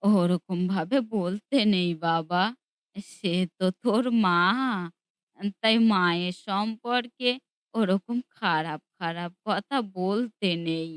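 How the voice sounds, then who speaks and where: A woman voicing a gentle old grandmother in a cartoon, speaking softly and tenderly to her grandson. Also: fairly low pitch, takes it slowly, clear, resonant tone, no room sound. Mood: soothing. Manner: soft, tender, soothing. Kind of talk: cartoon dubbing.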